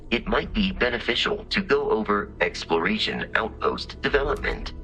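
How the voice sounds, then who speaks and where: A man's voice: on the low side, pushed and bright, full character voice, poor audio. A man playing a polite, dutiful robot assistant in a video game, speaking formally and precisely. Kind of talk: video game character dialogue.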